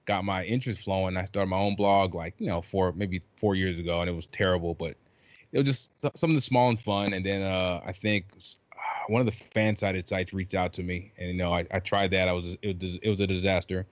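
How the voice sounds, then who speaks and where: A middle-aged man from Trinidad, raised in South Carolina, chatting casually as a podcast guest in a jokey, animated way with many restarts. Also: deep voice, brisk, no echo, lo-fi sound. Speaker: podcast guest, basketball writer. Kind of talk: casual chat.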